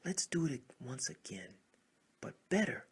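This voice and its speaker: A man voicing excitable, emphatic frogs in a storybook tale. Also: mid-range voice, a little slow, breathy edge, pitched above the performer's own voice, exaggerated voice. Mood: eager, rallying. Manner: exclamatory, emphatic. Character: excitable frogs of a pond, pleading and shouting. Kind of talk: storybook character voices.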